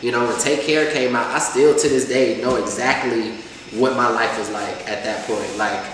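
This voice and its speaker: Casting: young rapper guest, rambling and laid-back, far from the mic in a roomy, reverberant space with background noise. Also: male voice, mid-range voice, normal speed, fairly bright tone. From Atlanta, USA. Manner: loose, rambling, heavy use of filler phrases. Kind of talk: casual interview chat. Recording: echoey room, far mic, noisy.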